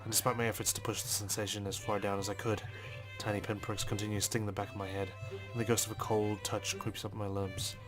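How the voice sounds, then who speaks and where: Low-pitched, even-toned male let's player. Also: quick pace, few pauses. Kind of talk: reading visual novel text aloud with brief asides. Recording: music behind the voice. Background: game music.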